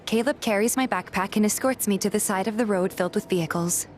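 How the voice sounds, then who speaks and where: A woman's voice: mid-range voice, fast talker, slight background noise. A woman reading a scripted first-person story as narrator and voice actor in a fan dub.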